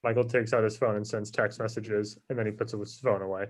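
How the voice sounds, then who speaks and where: Low, even-toned man reading stage directions. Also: a little fast. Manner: flat, matter-of-fact. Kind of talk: scripted narration at a table read.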